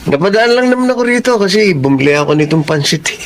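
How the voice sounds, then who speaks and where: Radio drama support: contented poor dad, high animated voice, folksy and carefree. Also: male voice, quick pace, a little hiss or hum. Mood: grateful, cheerful. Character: cheerful, easygoing, poor family man content with simple life. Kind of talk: Filipino radio drama acting.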